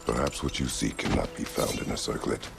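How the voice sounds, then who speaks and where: An extremely deep, heavily gravelled rumble belongs to a battle-worn warrior god. He is stern and stoic, choosing few words and giving each one weight. It is dramatic game acting, with clear ambient noise behind. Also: lively intonation, heavily acted. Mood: solemn. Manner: terse, weighty, deliberate.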